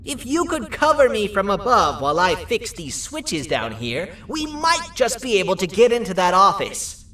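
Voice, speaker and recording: An actress playing a practical, cautious, resourceful companion in a video game. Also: female voice, medium pitch, very animated, normal speed, a bit bright. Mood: focused, planning. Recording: roomy, distant, faint music.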